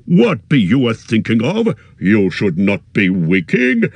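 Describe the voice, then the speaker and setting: A man's voice: lowish voice, wildly swooping pitch, measured pace, vocal fry, darkish tone, breathy edge, dry recording, exaggerated voice. A man voicing a jolly old male nature spirit with hearty, rustic, singsong speech.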